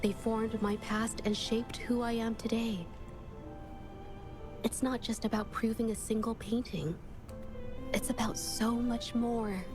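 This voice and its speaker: A woman's voice: on the high side, level tone, a little slow, long pauses, lightly acted. A woman playing an earnest, wistful young painter in a video game, speaking sincerely and solemnly. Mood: reflective, determined. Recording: game music behind the voice.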